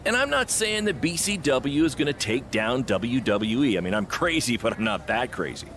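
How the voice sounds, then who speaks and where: Confident small-time wrestling promoter, average pitch, salesy game dialogue, slight background noise. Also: male voice, very animated, normal speed, fairly bright tone. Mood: persuasive.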